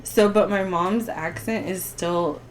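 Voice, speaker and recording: Guest: young Bolivian woman, Spanish-accented English, lowish voice, animated storyteller. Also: slow pace, a little hiss or hum, rough recording. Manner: animated storytelling, frequent restarts. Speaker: podcast guest. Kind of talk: casual chat.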